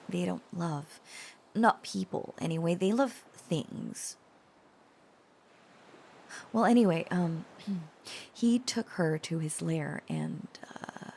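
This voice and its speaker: Slow, hesitant, tender young woman, acted. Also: on the low side, creaky, slight background noise, exaggerated voice. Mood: hesitant, confiding. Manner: hesitant, stammering, with soft pauses. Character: shy, tender young dragon-blooded woman confessing to her boyfriend. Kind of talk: intimate audio roleplay, acted to the listener.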